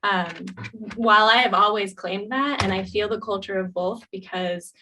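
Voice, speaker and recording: A woman co-host in her thirties with a bright, forward voice, chatting openly. She talks in loose, casual sentences full of small pauses and restarts. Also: medium pitch, slight background noise, poor audio. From United States. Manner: candid, many ums and restarts. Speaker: podcast co-host. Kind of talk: casual chat.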